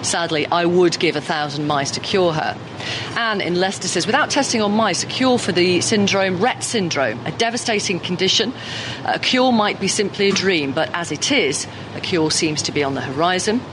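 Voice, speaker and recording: A woman presenting a live radio phone-in, briskly steering guests and reading texts. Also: lowish voice, animated, noisy background.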